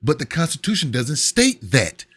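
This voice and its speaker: A man's voice: on the high side, wildly swooping pitch, moderate pace, fairly bright tone, husky. A man giving heated, blunt political commentary, profane at times and often repeating himself.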